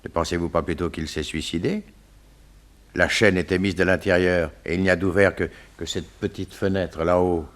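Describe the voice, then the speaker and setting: An actor playing a nervous, officious male coroner in a radio serial, hesitant and excitable in his speech. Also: sits low, normal speed, close to an everyday voice. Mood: puzzled, theorizing. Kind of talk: French detective radio serial acting.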